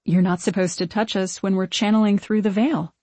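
A woman playing a gentle, wistful young ghost of a witch in an audiobook, speaking in earnest, measured, old-fashioned phrases. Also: medium pitch, steady tone, no room sound, lightly acted. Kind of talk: audiobook character acting.

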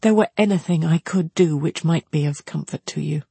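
A woman voicing a caring, composed elder sister who speaks solemnly and with gentle, restrained pleading in a classic novel reading. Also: sits low, fairly quick, vocal fry, breathy edge, dry recording, full character voice. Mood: earnest pleading.

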